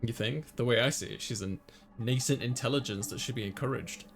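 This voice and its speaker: A man voicing an easygoing young student who narrates a visual novel in the first person, measured and conversational. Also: medium pitch, gravelly, a bit bright, faint music behind. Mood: reasonable.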